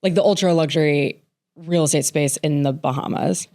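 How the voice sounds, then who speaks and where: A woman's voice: low voice, moderate pace, warm tone. A woman hosting a podcast, chatting playfully and asking eager questions of her guest. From grew up internationally, partly in Germany. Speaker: podcast host. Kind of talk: casual interview conversation.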